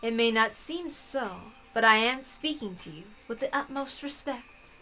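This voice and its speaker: Woman voicing an ancient, haughty, high-voiced witch. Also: animated. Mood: lofty. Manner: quiet, measured, formal and imperious. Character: ancient, haughty, frail female witch, formal and serene. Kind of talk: visual novel read-aloud.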